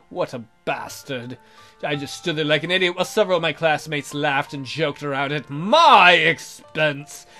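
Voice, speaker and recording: A man's voice: fairly high pitch, sharp tone. A man hosting a let's play, reading a visual novel aloud in a jokey, theatrical, exaggerated way with comic asides.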